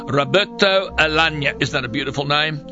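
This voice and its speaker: A man's voice: fairly high pitch, fairly quick, highs cut off, over music. A man hosting a radio interview, effusive and enthusiastic. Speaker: radio host.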